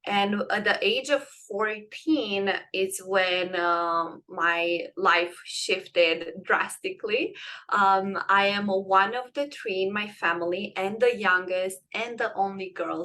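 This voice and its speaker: A young woman with a Romanian accent tells her story as a podcast guest. Her voice is bright and forward, and she talks in an earnest, searching way, full of ums and restarts. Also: mid-range voice, slow pace. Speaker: guest, transitions coach. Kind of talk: personal storytelling in a podcast interview.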